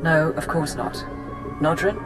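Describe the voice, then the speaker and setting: An actor playing a deferential, careful military support technician in a video game, giving crisp, formal reports. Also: medium pitch, measured pace, over music, natural voice. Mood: dutiful.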